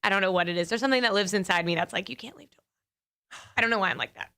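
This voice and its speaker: A young woman guesting on a morning radio show, chatting in a bubbly, expressive way and laughing easily. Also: mid-range voice, racing. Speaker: guest from a local magazine. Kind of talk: casual radio guest chat. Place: radio studio.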